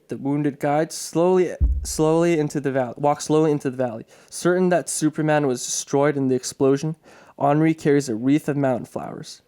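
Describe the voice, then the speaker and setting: A man's voice: mid-range voice, mellow. A man reading dramatic scripted narration for a radio play, now and then stumbling over a word. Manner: stumbles and repeats words while reading.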